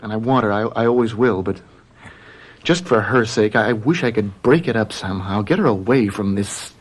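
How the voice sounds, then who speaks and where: An actor playing an earnest, worried young man in love, speaking haltingly in a radio drama. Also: fairly low pitch, natural voice. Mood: lovesick, distressed. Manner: hesitant, halting.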